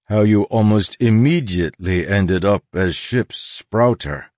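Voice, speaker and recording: A man voicing a huge, morose pirate quartermaster in an audiobook, measured and matter-of-fact. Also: on the low side, a little mellow, no room sound, studio quality, natural voice. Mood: doubtful.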